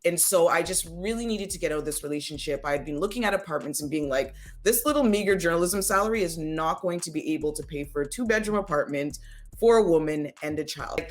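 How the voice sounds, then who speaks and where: A woman from the Caribbean, a guest in an interview, candidly recounting her path with easy laughs. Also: fairly low pitch. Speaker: guest, front-end web developer. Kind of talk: casual interview chat.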